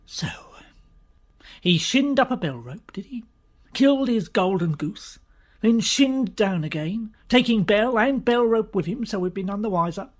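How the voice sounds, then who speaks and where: A dry, sardonic police inspector, as an actor plays him in a murder mystery radio play. He is weary and easily exasperated, and his clipped lines come in a husky, slightly unclear voice. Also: mid-range voice, animated, a little slow. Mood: dry sarcasm. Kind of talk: stage-style radio murder mystery acting.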